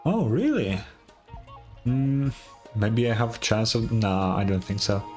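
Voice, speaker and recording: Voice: medium pitch, very animated, a little slow, a little mellow. A streamer giving casual, slangy reaction commentary on a game, in a laid-back manner. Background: game music.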